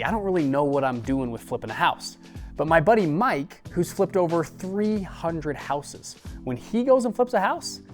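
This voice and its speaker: A young man tells a scripted business story, his voice sitting a little high. He slips in upbeat, joking asides as he goes. Soft background music plays beneath him. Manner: upbeat, jokey asides to camera. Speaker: host.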